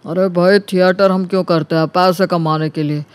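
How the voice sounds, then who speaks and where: A man's voice: high voice, fairly even intonation, moderate pace, clear, resonant tone, no room sound, natural voice. A man playing a smug, self-assured young man explaining himself in a story. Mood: boastful. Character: smug young man boasting to his old friend.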